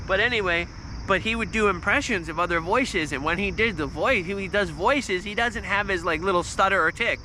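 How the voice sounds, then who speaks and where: A man in his thirties with a squeaky, nasal voice, telling a story in a loose, swearing livestream ramble. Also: brisk, bright, forceful tone, a little hiss or hum, low-quality recording. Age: 30s. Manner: swears freely, restarts often, volume spikes. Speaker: vlogger on a livestream.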